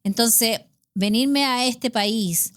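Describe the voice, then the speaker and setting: A woman's voice: fairly high pitch, drawn-out words, a bit bright, no room sound. Chatty Chilean woman, dry close sound. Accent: Chilean Spanish. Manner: chatty, storytelling, frequent tag questions. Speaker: podcast host. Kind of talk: casual conversational chat.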